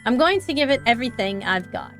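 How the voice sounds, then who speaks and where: A young woman streamer reads a visual novel aloud in an expressive, earnest way into a close microphone. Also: a little high, quick pace, soft background music.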